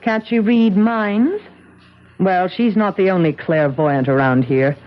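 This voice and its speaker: An actress playing a bitter, sarcastic, jealous wife, biting and mocking, in a 1970s radio drama. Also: female voice, lowish voice, slow pace. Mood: mocking, accusing. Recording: muffled old radio broadcast.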